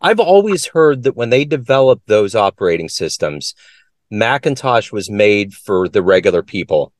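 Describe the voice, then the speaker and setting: A man with a low, dry-sounding voice hosts a conversational interview. He asks friendly, curious questions, and his voice rises on them. The sound is close and dead, with no room echo. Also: very animated, moderate pace. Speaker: interviewer and show host.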